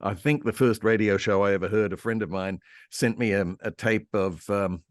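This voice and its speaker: An older man with an Australian accent, reminiscing casually and haltingly as an interview guest. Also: fairly low pitch, dry recording, clean studio sound. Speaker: interview guest, radio historian and voice actor.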